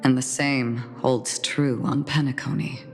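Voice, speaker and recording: Casting: composed, cryptic game swordswoman, low register, deliberate delivery, music underneath. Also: steady tone. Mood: grave, calm. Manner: measured, cryptic, philosophical. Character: solemn, composed woman swordswoman with failing memory. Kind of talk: video game voice acting. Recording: long reverb, music behind the voice.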